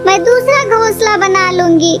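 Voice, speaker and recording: Squeaky-high, earnest young mother bird. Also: normal speed, loud music behind the voice. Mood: earnest, concerned. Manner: earnest, reassuring, respectful. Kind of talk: Hindi children's moral story cartoon dialogue.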